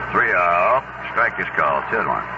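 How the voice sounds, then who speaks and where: A man's voice: sits low, lively intonation, moderate pace. A man calling a baseball game live on radio as play-by-play announcer, in clipped, folksy phrases. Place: ballpark broadcast booth. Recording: noisy, dull 1970s baseball radio broadcast.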